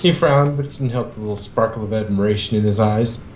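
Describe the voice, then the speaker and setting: A man's voice: fast, a little mellow. A man reading fan fiction aloud and chatting casually as an amateur co-reader. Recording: echoey room, faint rumbling noise.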